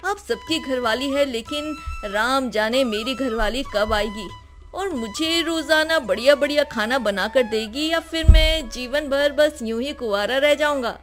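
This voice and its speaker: A lonely, humble bachelor from a cartoon moral story, played by a female narrator. The voice is high, with an effusive and plaintive manner. There is music behind it. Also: measured pace. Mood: dejected, self-pitying. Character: Popatlal, lonely self-pitying bachelor, humble younger man.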